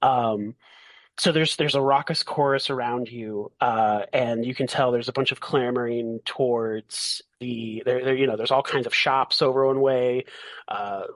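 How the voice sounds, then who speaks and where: A man acting as game master on a podcast, improvising narration and questions in a halting, rephrasing manner. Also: mid-range voice, steady tone, fairly bright tone, no echo. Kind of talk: improvised narration of a tabletop role-playing game.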